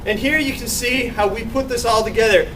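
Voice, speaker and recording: A man giving a group slide presentation with an energetic, repetitive emphasis, recorded from a distance. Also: extremely high pitch, brisk. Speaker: student presenter. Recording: distant, with faint noise.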